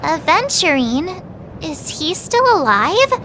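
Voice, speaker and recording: A woman voicing a playful, expressive teenage girl adventurer in an anime-style video game. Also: very high voice, takes it slowly, slight background noise, exaggerated voice. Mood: worried, curious. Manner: bubbly, teasing, expressive. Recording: loud music under the voice.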